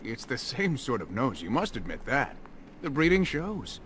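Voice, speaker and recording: A man's voice: mid-range voice, sing-song, normal speed, a bit bright, slight background noise. An actor playing an opinionated but kindly older elf man in a fantasy video game. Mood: bickering, insistent.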